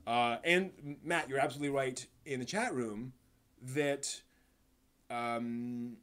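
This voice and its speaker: Male streamer, medium pitch, casual commentary, halting delivery, stresses key words, thinks aloud. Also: a bit bright. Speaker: live stream host. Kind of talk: casual live commentary, answering chat.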